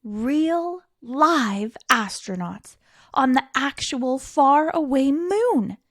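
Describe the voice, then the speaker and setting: A woman with a fairly high voice reads a picture book aloud to young children. She is theatrical and unhurried, stretching key words and pausing for drama. The recording is close and dry. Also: expressive, no room sound. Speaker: storytime reader.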